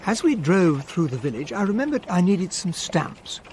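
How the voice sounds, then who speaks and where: A man playing a genial, gentlemanly English army captain in a radio drama, well-spoken and polite, with an English accent. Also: sits high, sing-song, normal speed, background noise. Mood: reflective narration. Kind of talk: radio drama acting and first-person narration.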